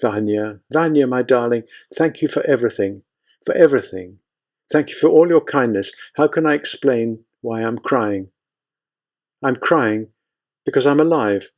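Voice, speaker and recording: A man voicing a tender, grieving young wife's letter in a soft, gentle reading. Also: mid-range voice, close to an everyday voice.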